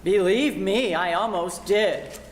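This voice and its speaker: Older woman acting a slow-speaking, snobbish mother. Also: mid-range voice, a little hiss or hum, close to an everyday voice. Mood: relieved. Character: haughty, snobbish, easily affronted middle-aged mother. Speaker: amateur actor in a senior theater class. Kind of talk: old-time radio comedy acting.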